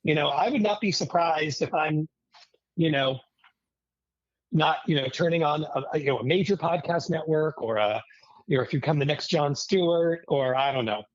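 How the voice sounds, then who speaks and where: A man's voice: on the high side, moderate pace, dry recording, highs cut off. A middle-aged American man speaking as a guest in a casual interview, in a reflective, anecdotal way.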